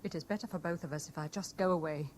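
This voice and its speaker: A woman's voice: low voice, fast, a little mellow, natural voice. Actress as a weary, plaintive young woman. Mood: distressed, defensive. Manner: plaintive, restrained. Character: weary, distressed young woman pressed by an obsessive lover. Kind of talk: 1970s radio drama acting. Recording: noisy vintage radio broadcast recording.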